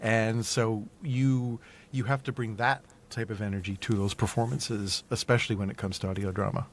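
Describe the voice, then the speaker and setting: A man answering as a podcast guest in a measured, explanatory way, repeating phrases for emphasis. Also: fairly low pitch, fairly even intonation, a little hiss or hum. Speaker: podcast guest, audio drama actor and producer. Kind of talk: conversational interview answer.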